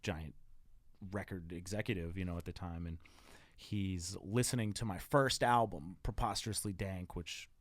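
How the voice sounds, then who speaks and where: A man with a fairly low voice hosts a casual podcast conversation, reminiscing eagerly and jumping in often. He sits close to the mic in a dry, dead room. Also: no room sound. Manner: excited, reminiscing, quick to jump in. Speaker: podcast host. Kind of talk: casual interview chat.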